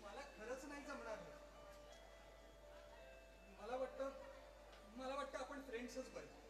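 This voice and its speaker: A young male actor playing a frustrated lover in a stage play, speaking emphatically and haltingly and repeating himself. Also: very high-pitched, a crowd in the background. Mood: troubled. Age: young adult. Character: frustrated young man quarrelling with the woman he loves. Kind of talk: one-act stage play dialogue. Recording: echoey distant stage recording, loud music.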